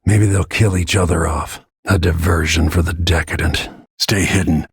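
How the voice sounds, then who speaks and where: An actor playing a grim, vengeful middle-aged assassin in a video game, speaking tersely in a gravelly, husky voice. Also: male voice, fairly even intonation, no room sound, clean studio sound, exaggerated voice. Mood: grim, brooding. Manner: low-key, grim, terse, deliberate.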